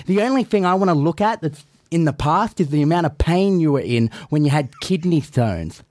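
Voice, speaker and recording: A man's voice: fairly high pitch, expressive, moderate pace, a little mellow, slight background noise. A man, a wrestler guest on a podcast, trading cocky, brash trash talk. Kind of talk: boastful in-character banter.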